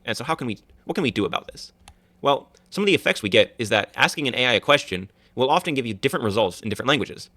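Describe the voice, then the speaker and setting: A man's voice: mid-range voice, expressive, rapid-fire, pushed and bright, dry recording. A male student giving a class project presentation, explaining plainly with small restarts.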